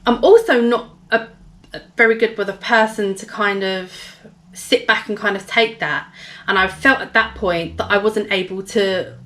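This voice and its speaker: Hoarse woman rambling through a vlog. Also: mid-range voice, normal speed, fairly bright tone. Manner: rambling, many fillers and restarts. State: hoarse, gravelly voice. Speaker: vlogger. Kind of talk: casual personal vlog storytelling.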